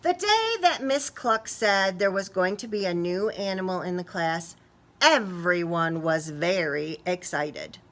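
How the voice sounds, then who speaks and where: A woman reading a picture book aloud to young children expressively, pausing to ask them questions. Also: fairly low pitch, a bit bright. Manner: talks to young listeners, asking questions. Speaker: children's story reader.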